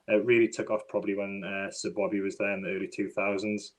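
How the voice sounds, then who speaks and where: A man with a Northeast English accent hosts a relaxed podcast chat. He often pauses on ums and restarts his sentences. His voice comes through a video call. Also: on the low side. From northeast England. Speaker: podcast host.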